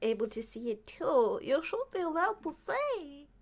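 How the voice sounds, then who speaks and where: Slow-paced, nervous woman with lively, higher pitch. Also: a little hiss or hum. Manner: nervous, giggly. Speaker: podcast host. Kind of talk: casual live stream chat.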